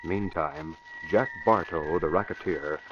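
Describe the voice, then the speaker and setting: A man's voice: low voice, measured pace. A man narrating a radio serial in a scripted 1940s style. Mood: storytelling. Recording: dull vintage radio recording. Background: music.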